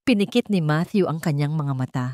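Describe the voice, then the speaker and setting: Female Filipino narrator for dramatic romance fiction, low register, close dry sound. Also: lively intonation, fairly quick, dark tone, no room sound. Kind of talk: dramatic scripted storytelling, radio drama style.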